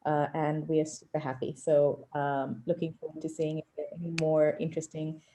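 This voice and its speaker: Woman from southern Africa, investor on a call; low, mellow voice; measured delivery. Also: completely flat delivery, poor audio. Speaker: angel investor on a pitch panel. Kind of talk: self-introduction on an online panel.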